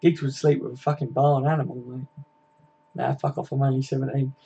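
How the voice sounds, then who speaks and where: A man's voice: medium pitch, flat delivery, brisk, vocal fry, warm tone, slightly rough, muffled recording. A man, hosting a casual live stream, chatting matily with viewers and laughing easily. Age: 20s.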